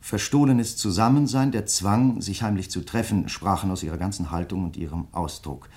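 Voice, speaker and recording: An actor playing a polite, insistent crime novelist in a German radio play, speaking in a measured, deliberate way. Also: male voice, deep voice, darkish tone. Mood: measured, reading aloud. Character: courteous, insistent male crime novelist probing a fellow writer.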